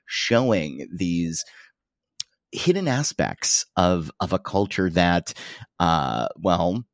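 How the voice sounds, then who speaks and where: Low voiced podcast host guy, lots of uh and restarts, super dry sound. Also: big pitch swings, no echo. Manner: halting, many fillers and restarts. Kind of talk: conversational interview.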